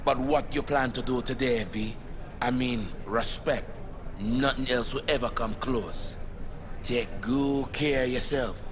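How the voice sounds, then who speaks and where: A man's voice: mid-range voice, a little slow, crowd noise, natural voice. An actor playing a loyal, boastful comrade in a video game, talking casually and with respect to his boss. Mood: respectful, upbeat. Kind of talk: video game dramatic acting.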